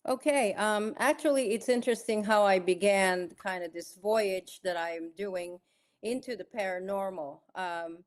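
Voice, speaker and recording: A middle-aged woman from the Philippines, a guest author recounting her past in a podcast interview, with measured pauses and frequent "uh" and "um.". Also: medium pitch.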